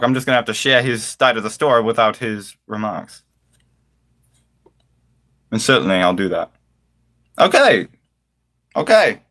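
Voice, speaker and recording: A man hosts a casual livestream in a mid-pitched, highly animated voice. He affects a British-style accent and chooses flowery, mock-formal words. His delivery is theatrical, rising and falling widely as he addresses his viewers.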